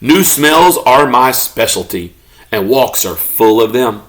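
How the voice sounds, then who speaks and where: A man voicing a good-natured, grumbling pet beagle telling his own storybook tale in an expressive, mock-indignant way. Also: medium pitch, takes it slowly. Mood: wistful, eager.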